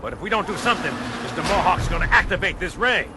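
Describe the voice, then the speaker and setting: An actor playing a cocky, wisecracking tough soldier with an American accent and slangy talk in a video game. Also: male voice, sits high, expressive, fast, very noisy. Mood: urgent, brash. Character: brash, wisecracking tough male military sergeant. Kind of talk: video game action cutscene acting. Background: loud game score and effects.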